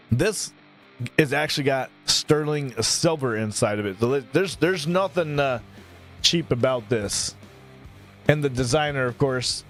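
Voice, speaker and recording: A man in his mid-thirties chatting casually on a live stream, rambling between topics and thanking viewers by name. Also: medium pitch. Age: 30s.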